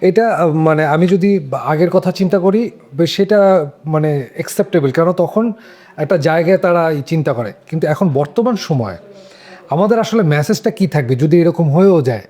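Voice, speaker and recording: Guy on a panel, mellow but highish voice, rephrasing stuff as he chats. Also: moderate pace. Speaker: discussion panelist. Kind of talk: casual discussion.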